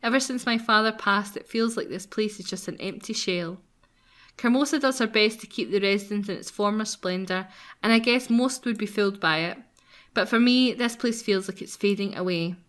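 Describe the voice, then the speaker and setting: A woman playing a gentle yet cheeky young heroine in a visual novel, earnest and quietly defiant. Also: medium pitch, moderate pace, lightly acted. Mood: wistful. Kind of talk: acted reading of visual novel dialogue.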